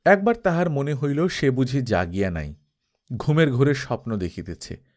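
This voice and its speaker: A man narrating a classic novel as an audiobook, with solemn, expressive and measured phrasing. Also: mid-range voice. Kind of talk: scripted literary narration, dramatic storytelling.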